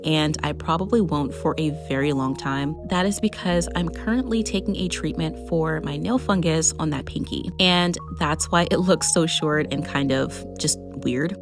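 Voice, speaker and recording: Young woman nail vlogger, low, raspy and tired, candid voice-over chat over clear background music. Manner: candid, rambling, uses "like" and "you know" often. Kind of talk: casual chatty voice-over.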